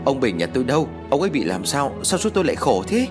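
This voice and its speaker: An old village wife from an audio story, acted out by a male narrator, quick to anger and anxious. She scolds and laments in a rustic, emotional way. The pitch swings widely, with faint music behind. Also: very animated. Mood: distraught, pleading. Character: hot-tempered, foul-mouthed old village wife, anxious and wailing.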